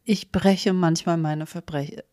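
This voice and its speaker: A woman's voice: lowish voice, fairly even intonation, slow pace, dark tone, no room sound, studio quality. A woman co-hosting a podcast in casual, conversational discussion. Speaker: podcast co-host.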